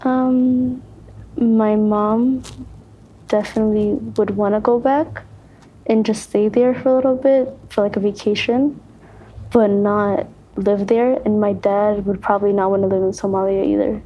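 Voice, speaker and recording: Slow, mellow young woman. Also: mid-range voice, little pitch movement, lo-fi sound. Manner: unhurried, hedging with fillers. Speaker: student panelist. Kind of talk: casual panel discussion.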